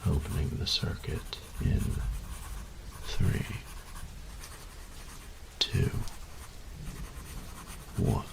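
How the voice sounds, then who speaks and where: A man playing a meticulous, reclusive artificer in an audio roleplay, dictating formally and precisely in a gravelly, breathy voice. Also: extremely slow, long pauses, background noise. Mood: formal, focused. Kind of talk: scripted audio roleplay acting.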